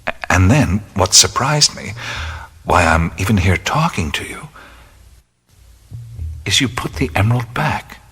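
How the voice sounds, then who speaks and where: An actor playing a weary, reflective adventurer in a radio play, speaking slowly and often in a hush. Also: male voice, on the low side, very animated, breathy edge, long reverb tail, heavily acted. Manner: slow, reflective, often hushed. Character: wry, weary adventurer-mystic man. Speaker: lead character.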